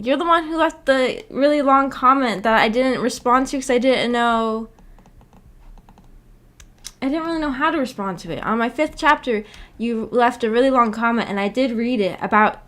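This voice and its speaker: A woman's voice: on the high side, normal speed, fairly bright tone. A woman streamer chatting casually with her viewers, hesitant and giggly as she searches for words. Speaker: streamer hosting a visual novel book club. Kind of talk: casual livestream chat, reacting to viewer comments.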